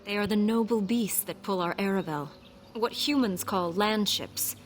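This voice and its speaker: An actress playing a gentle, devoted elven herder in a fantasy game, speaking in a measured, earnest, formal way. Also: female voice, mid-range voice, faint music behind, natural voice. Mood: proud, gentle. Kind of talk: fantasy game dialogue acting.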